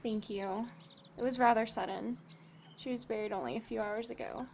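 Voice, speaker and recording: An actress playing a polite, soft-spoken bereaved daughter in an audio drama. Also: female voice, a little high, fairly even intonation, moderate pace, gravelly, a little hiss or hum. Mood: subdued. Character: subdued, courteous bereaved daughter.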